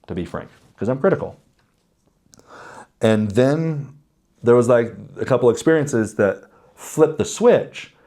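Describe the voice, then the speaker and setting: The low, raspy man in his thirties across the table in an interview, talking frankly through lessons from his own life. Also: darkish tone. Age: 30s. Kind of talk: reflective conversational interview answers with anecdotes.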